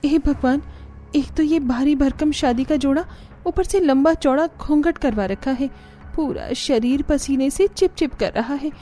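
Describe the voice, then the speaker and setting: A woman's voice: high-pitched, a little slow, warm tone, soft background music. A woman voicing a weary, grumbling young bride in a cartoon story.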